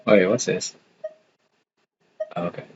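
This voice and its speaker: A man's voice: measured pace, darkish tone, highs cut off, slight background noise. A man casually commenting as he plays a video game on stream. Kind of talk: casual play-along commentary. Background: game music.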